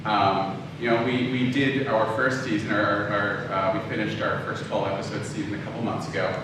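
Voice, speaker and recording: A man speaks as a lecturer in a conversational, stop-and-start manner. His voice rings around a heavily reverberant room and reaches a distant microphone, with clear background noise. The delivery is informal, aimed at a live audience. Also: lowish voice, flat delivery, moderate pace. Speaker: lecturer, audio drama producer. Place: indoor room talk before an audience. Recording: very echoey room, distant mic, noisy.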